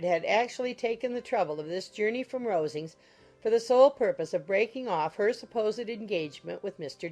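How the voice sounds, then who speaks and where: A woman reading scripted literary narration as an audiobook narrator. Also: mid-range voice. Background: soft music.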